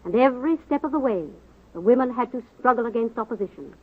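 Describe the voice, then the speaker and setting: A woman's voice: a little high, expressive, fast talker. A woman narrator delivering dramatic scripted narration in 1940s radio style. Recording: tinny old radio recording, music behind.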